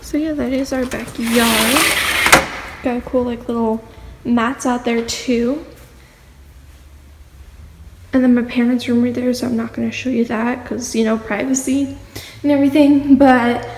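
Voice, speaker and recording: High-voiced teen girl rambling on a phone, super echoey empty rooms, bit of hum. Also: fairly even intonation, measured pace, long pauses, darkish tone. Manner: chatty, rambling, filler-heavy. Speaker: vlogger. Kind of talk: casual house tour vlog. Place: empty house with bare walls, indoors. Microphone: phone held at arm's length.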